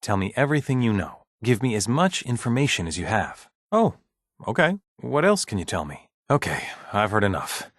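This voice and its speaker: A husky, low-pitched male scientist from a video game. He is polite and deferential, with a measured bureaucratic manner and a hesitant, uneasy edge. His intonation moves around freely, and the sound is close and dry. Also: big pitch swings, no echo. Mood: concerned, probing. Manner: hesitant, deferential, measured office-professional speech. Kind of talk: video game dialogue acting.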